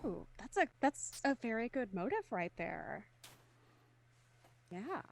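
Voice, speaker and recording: Lively high-voiced woman host. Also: slow, vocal fry, slight background noise. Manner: upbeat, steers the talk with questions. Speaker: host leading the cast discussion. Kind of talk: casual group chat.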